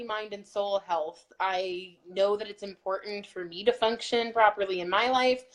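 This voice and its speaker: A woman co-hosting a fitness challenge group, talking earnestly in a casual pep-talk manner with lots of "like". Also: mid-range voice. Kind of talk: casual motivational chat.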